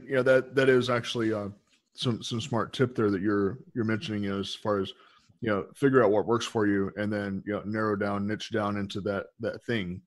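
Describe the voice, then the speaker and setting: Male podcast host, lowish voice, dry close sound, rambling filler-heavy questions. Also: no room sound. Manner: rambling, many fillers, affirming. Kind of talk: interview questions.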